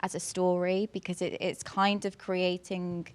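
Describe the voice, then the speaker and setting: Woman in an interview explaining the work, pretty flat pitch, conversational. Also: a little slow, clear, resonant tone. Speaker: comics company representative interviewed.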